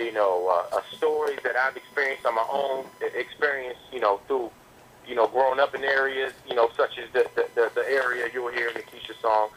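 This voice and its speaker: Male rapper from the southern US, rapid casual slang, interview guest over a faintly noisy phone connection. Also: mid-range voice, a bit bright. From the southern United States. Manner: slangy, repeats words as he builds a point. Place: on a landline phone call. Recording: noisy landline phone call.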